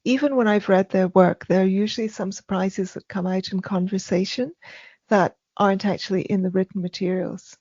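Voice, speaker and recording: A soft-spoken woman being interviewed, speaking haltingly with many ums and restarts. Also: mid-range voice, fairly even intonation, dry recording, dull audio. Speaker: podcast guest. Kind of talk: casual interview conversation.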